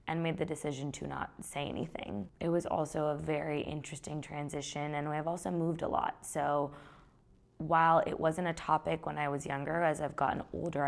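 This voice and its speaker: A woman's voice: low voice, vocal fry. A young woman, founder of a clothing company, talking conversationally in an interview. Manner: relaxed, conversational. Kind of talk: interview, personal story.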